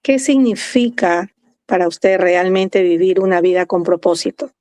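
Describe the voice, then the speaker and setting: A woman's voice: on the low side, fairly even intonation, unhurried, no room sound, clean studio sound. A woman hosting a faith podcast, formal in tone and asking reflective questions with some hesitation. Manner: formal, warm introductions, hesitant fillers. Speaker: host.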